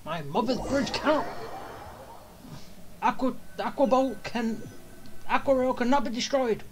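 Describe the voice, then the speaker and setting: A woman comments casually on her own gameplay in short, offhand bursts. Her slightly high voice moves up and down a lot. Loud game music plays behind her. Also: expressive, unhurried. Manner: short offhand reactions, muttering to self. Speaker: gamer giving live commentary. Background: loud game music and effects.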